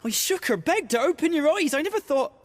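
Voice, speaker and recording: An actor playing a grief-haunted village fisherman in a fantasy video game, with a rustic, halting, emotional delivery. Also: very high-pitched, lively intonation. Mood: horrified, anguished. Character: anguished village fisherman, a plain man haunted by lost love. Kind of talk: dramatic video game voice acting.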